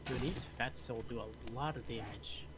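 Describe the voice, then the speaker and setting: A man's voice: mid-range voice, moderate pace. A man chatting casually as a co-player in a game's voice chat. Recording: very noisy voice chat with clicks.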